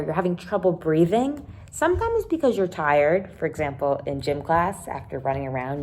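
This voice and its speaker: A woman's voice: mid-range voice, a little mellow. A woman teacher reading a children's book aloud and explaining it simply, with dramatic emphasis. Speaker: elementary teacher.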